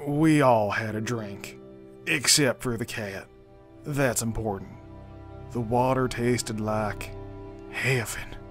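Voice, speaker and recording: An amateur actor playing a bitter, brooding young man in an audio drama, with a sardonic, deliberate way of talking. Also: mid-range voice, soft background music, lightly acted. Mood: brooding storytelling. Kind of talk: fan audio drama acting.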